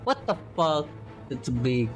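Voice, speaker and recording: A man's voice: mid-range voice, sing-song, slow. A man live-streaming a game, reacting casually to what happens as he plays. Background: game music.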